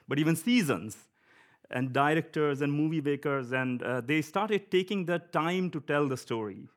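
A man from India with an Indian accent giving a conversational church sermon, often pausing with fillers and light laughs. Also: on the high side. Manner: conversational, chuckling, with frequent fillers. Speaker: preacher.

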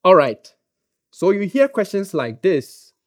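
A man's voice: sits high, slow. Guy with a ghanaian english accent giving a laid-back sermon, jokes around, lots of ums. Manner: relaxed, joking, with frequent fillers. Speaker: preacher. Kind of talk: conversational sermon.

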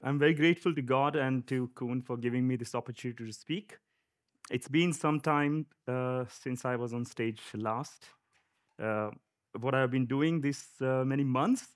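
A man from India with an Indian accent giving a conversational church sermon, often pausing with fillers and light laughs. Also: medium pitch. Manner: conversational, chuckling, with frequent fillers. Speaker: preacher.

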